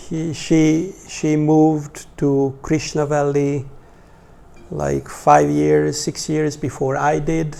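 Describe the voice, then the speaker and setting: A man in his forties with a Hungarian accent speaks informally as a religious teacher to a small group. His delivery is slow and hesitant, with frequent pauses. The voice sits a little high for a man, with a gravelly creak and a mellow tone. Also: flat delivery. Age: 40s. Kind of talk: informal talk to a small class.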